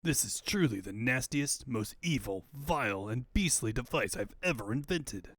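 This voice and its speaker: A man's voice: medium pitch, animated, normal speed, a bit bright, no echo. A man voicing a pompous, boastful mad scientist villain with theatrical menace in a comic book fandub. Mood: gloating. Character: pompous, gloating evil male mad scientist tyrant.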